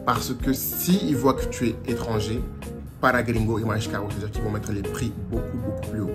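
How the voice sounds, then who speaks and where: A young man from France giving casual travel advice in a lively vlog, dropping Portuguese phrases as he talks. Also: on the low side, brisk. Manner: chatty and animated, slips into Portuguese phrases. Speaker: vlogger. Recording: music playing under the voice.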